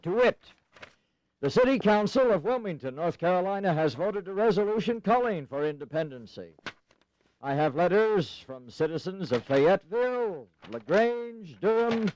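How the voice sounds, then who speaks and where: Insistent colonial politician, high voice, formal and pressing, for historical audio drama. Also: male voice, sing-song, moderate pace, a little mellow, lo-fi sound. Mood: eager, insistent. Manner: emphatic, formal, persuasive. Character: eager, insistent colonial congressman pressing for independence.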